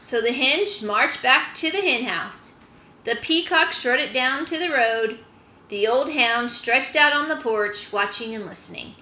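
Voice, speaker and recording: A woman's voice: high voice, measured pace, pushed and bright. A woman in her thirties reads a storybook aloud and gives instructions to children, recorded at home on a laptop. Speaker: host reading aloud to children. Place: small indoor room at home. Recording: roomy, faintly noisy webcam recording.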